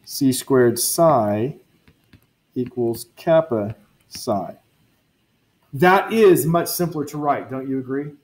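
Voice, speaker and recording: A man's voice: mid-range voice, slow pace, pauses often, a little mellow. Man lecturing physics, thinking aloud. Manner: thinks aloud, self-correcting, chatty asides to students. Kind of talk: university lecture at a board. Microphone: lecture recording.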